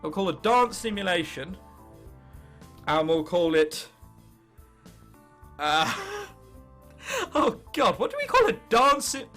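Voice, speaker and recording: A man's voice: high-pitched, very animated, takes it slowly, long pauses, bright, forceful tone, faint music behind. A 19-year-old man with an English accent giving casual gameplay commentary, joking sarcastically and muttering irreverently at the game. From England. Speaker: gaming video host.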